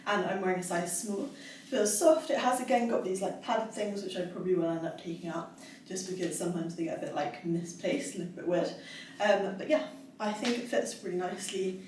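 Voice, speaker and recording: A woman's voice: medium pitch, fairly quick, a little mellow, far from the mic, slight background noise. A young woman in her twenties chatting casually in a try-on haul, bubbly and giggly with lots of fillers. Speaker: fitness vlogger. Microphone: camera across the room. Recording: echoey room, voice from afar.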